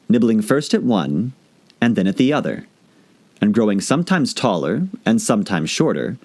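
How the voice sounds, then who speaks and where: A man reading scripted storybook narration as an audiobook reader, expressive and theatrical in his delivery. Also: fairly low pitch.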